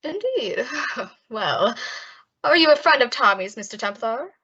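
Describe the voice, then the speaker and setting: A woman's voice: a little high, very animated, a little slow, sharp tone, breathy edge, heavily acted. A woman playing a smug, menacing male nightclub gangster boss in old-time radio style, mock-polite and taunting. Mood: quietly menacing. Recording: dull lo-fi audio.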